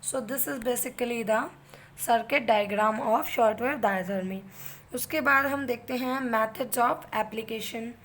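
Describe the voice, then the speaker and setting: A woman's voice: a little high, drawn-out words, fairly bright tone. A woman with an Indian accent giving an explanatory lecture as an instructor, mixing two languages and often checking in with the listener. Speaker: physiotherapy instructor.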